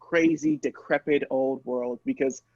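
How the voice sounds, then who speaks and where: A man's voice: medium pitch, steady tone, a little slow, darkish tone, no room sound, natural voice. A man playing a smug, scheming angel in an improvised comedy, recorded with a dull sound. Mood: smug, threatening. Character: smug, scheming favorite angel, secretly evil.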